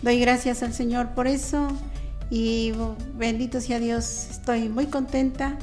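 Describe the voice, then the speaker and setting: An older woman with a Mexican accent speaks as a devout interview guest. Her voice is fairly high and very even in pitch. She moves in halting phrases over soft music. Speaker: guest, cloistered nun. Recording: music bed under the voice.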